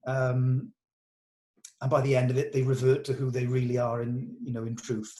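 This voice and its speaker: A man's voice: medium pitch, flat delivery, moderate pace, warm tone. A man, speaking as a director in an informal rehearsal, in a hesitant, thoughtful and encouraging way. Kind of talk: informal rehearsal discussion, some reading of stage directions.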